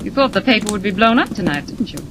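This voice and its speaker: An actress playing a bold, sharp-tongued woman reporter with a pointed manner, in 1940s radio serial style. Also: mid-range voice, expressive, quick pace, close to an everyday voice. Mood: scornful. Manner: pointed, sarcastic, inquisitive. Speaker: newspaper reporter. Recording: noisy vintage 1940s radio recording.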